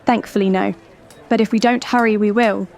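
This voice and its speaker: A woman plays a young female elf in a fantasy audio drama, impatient and chiding. Her voice sits at a middle pitch over a music bed. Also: steady tone, normal speed, gravelly, a little mellow, a faint crowd, natural voice. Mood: chiding, urgent.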